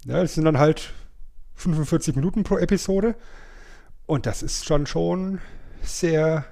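A man's voice: a little high, measured pace, vocal fry, warm tone. A man, chatting casually and playfully as a podcast co-host. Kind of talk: casual nostalgic chat.

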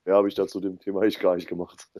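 A man's voice: sits low, little pitch movement, racing, warm tone, no room sound. A man chatting casually as a podcast guest, often hesitating between phrases. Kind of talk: casual nerd chat.

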